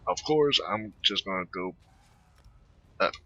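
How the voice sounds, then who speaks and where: A man doing casual gameplay commentary in a rambling, halting way, close to the microphone. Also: low-pitched, animated, bright, forceful tone, dry recording. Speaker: gaming video creator. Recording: dull, close dry microphone.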